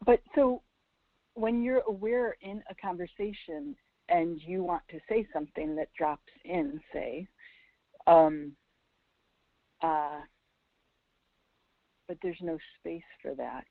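A woman calling in with a reflective question, hesitant and halting as she thinks aloud over a phone line. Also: fairly low pitch, no echo. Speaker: caller. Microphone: phone call. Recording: narrowband phone line sound.

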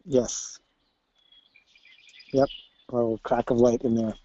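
A man of medium pitch speaks over a slightly dull, dry phone connection. He shares his reflections casually as a caller, hesitating and leaving long gaps while he searches for words. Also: little pitch movement, slow pace, dark tone, dry recording. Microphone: telephone.

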